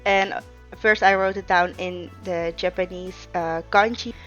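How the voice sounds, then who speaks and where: A woman's voice: on the low side, unhurried, muffled recording, soft background music. A young woman whose first language is Russian, chatting casually through a plan-with-me video, with frequent ums and uhs. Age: young adult. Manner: relaxed, chatty, many filler ums and uhs. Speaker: vlogger.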